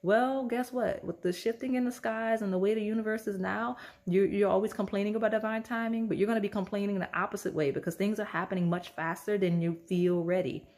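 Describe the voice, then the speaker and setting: A woman with a slight rasp, hoarse and losing her voice, chatting casually through a tarot card reading and often asking "okay?". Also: mid-range voice, fairly even intonation, a little fast, a little mellow. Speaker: tarot reader.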